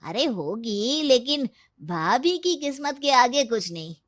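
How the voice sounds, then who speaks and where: A woman's voice: a little high, very animated, slow pace. Woman acting respectful, admiring male family friend. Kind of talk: Hindi cartoon story dialogue.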